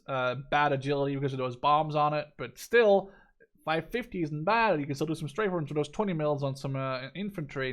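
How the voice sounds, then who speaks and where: A man in his twenties giving casual, enthusiastic and opinionated game review commentary, with some casual swearing. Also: a little high. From Netherlands. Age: 20s. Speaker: gaming video creator.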